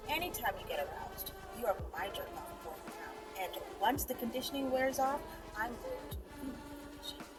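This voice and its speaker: A woman's voice: high-pitched, normal speed, hardly any pauses, distant mic, loud music behind the voice, pitched above the performer's own voice, close to an everyday voice. A woman voicing a domineering, scheming female character in a story, commanding and emphatic as she lays down rules. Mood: smug, controlling. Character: domineering, scheming woman, coolly explaining her control.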